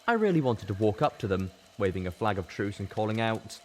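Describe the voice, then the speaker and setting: A man's voice: on the low side, sing-song, a little fast, darkish tone. A man reading a first-person story in a dramatic audiobook narration style. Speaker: story reader.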